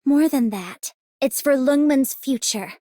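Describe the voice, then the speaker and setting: A woman's voice: high-pitched, unhurried, dark tone, dry recording, studio quality. A woman voicing a proud, determined young policewoman in a game, with an assertive manner. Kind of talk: scripted game character voice line.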